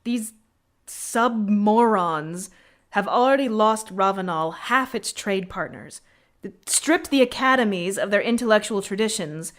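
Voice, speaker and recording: A woman's voice: medium pitch, fairly bright tone. A woman voicing a proud, stern rebel military tactician in a video game, curt, sardonic and articulate, given to lecturing. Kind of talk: scripted video game character acting.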